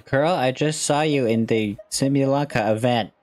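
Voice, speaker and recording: A woman's voice: a bass voice, normal speed, crowd noise. A woman hosting a gaming video, giving casual, chatty live reactions to the game in brief interjections.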